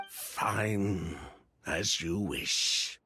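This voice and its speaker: A man's voice: low-pitched, noisy background, exaggerated voice. A man playing a shifty merchant in a video game, with formal, unctuous, theatrical politeness. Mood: resigned. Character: smooth, shifty male merchant with condescending politeness. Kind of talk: scripted video game dialogue.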